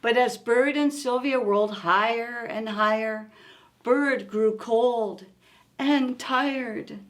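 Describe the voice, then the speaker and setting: Female storytime reader for little kids: very slow, slightly high voice, expressive and deliberate. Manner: expressive, asks listeners simple questions. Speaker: children's story-time reader. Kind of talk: read-aloud storytime for young children.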